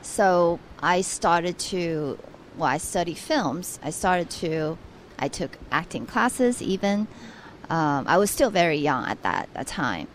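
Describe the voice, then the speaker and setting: Soft-voiced woman from Taiwan. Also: fairly low pitch, lively intonation, slow pace, slight background noise, low-quality recording. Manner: earnest, hesitant with restarts. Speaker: guest. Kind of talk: radio interview.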